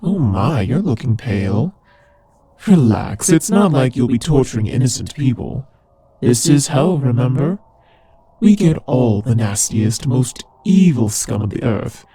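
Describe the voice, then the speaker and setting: A man's voice: mid-range voice, lively intonation, measured pace, mellow, husky, dry recording. A man playing a teasing, sardonic demon ruler of hell in a scripted roleplay audio drama, with a conversational, theatrical delivery. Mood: mock-reassuring, impatient.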